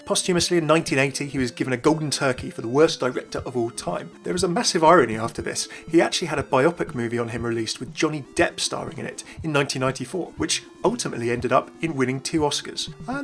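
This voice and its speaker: A man's voice: mid-range voice, a bit bright. A young man hosting a gaming video with scripted narration. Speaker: YouTube gaming host.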